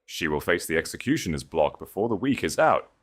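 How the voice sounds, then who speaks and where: A proud sovereign grand duke, acted out by a male narrator, speaks in a low, solemn voice. His delivery is regal and declamatory, made for a storybook romance, with grave authority in every phrase. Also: big pitch swings, fairly quick. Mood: wrathful declaration. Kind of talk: dramatic romance audiobook dialogue.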